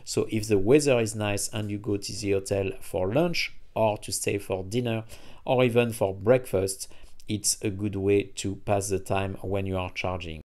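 A man narrating a travel vlog about a charging stop and a city visit, speaking haltingly with many fillers and phrasing. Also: lowish voice, fairly even intonation, a little mellow. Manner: halting, frequent uh fillers, non-native phrasing. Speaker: travel vlogger reviewing EV chargers.

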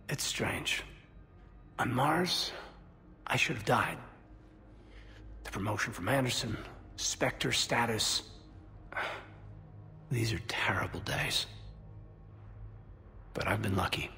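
A man playing an earnest, soft-spoken, somewhat hesitant space soldier in a video game, speaking intimately. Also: mid-range voice, lively intonation, slow, pauses often, heavily acted. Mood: somber. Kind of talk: video game dialogue acting.

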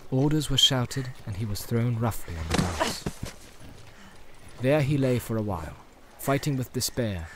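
A male audiobook narrator tells a fantasy story in a dramatic, scripted style. His voice sits at a middle pitch. A faint ambient soundscape runs behind him. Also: animated, a little slow, noisy background.

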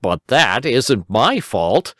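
A man voicing a kindly old rabbit gentleman who speaks gently and helpfully. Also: medium pitch, very animated, takes it slowly, no room sound, studio quality. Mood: eager.

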